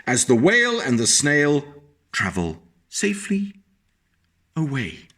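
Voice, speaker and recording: A man reading a picture book aloud to young children, animated and stopping to explain the pictures to his small listeners. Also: mid-range voice, slow.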